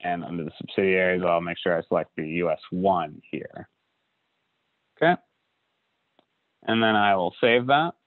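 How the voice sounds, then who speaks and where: Man, software walkthrough, lowish voice, dry phone-like sound, fillers. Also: moderate pace, long pauses, dry recording. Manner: relaxed, many fillers like uh and you know. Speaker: software instructor. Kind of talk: screen-recorded software walkthrough. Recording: dry tinny lo-fi audio.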